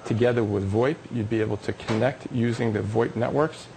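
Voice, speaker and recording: A man's voice: medium pitch, fairly even intonation, fairly quick, a little mellow, a little hiss or hum, low-quality recording. A man being interviewed as a company executive on a live business TV show, explaining technology with emphatic enthusiasm.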